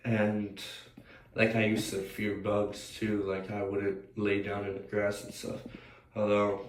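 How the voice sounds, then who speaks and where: Young American man, flat low scratchy voice. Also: slow. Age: young adult. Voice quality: slightly scratchy. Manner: rambling, hesitant, thinking aloud. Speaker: vlogger. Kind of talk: casual, unscripted personal reflection. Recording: roomy, distant mic, faint noise.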